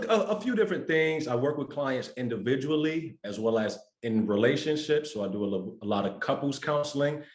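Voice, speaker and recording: A man's voice: on the low side, darkish tone. A man introducing himself as a life coach and therapist, composed and professional. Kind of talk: self-introduction on an online panel. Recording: echoey room.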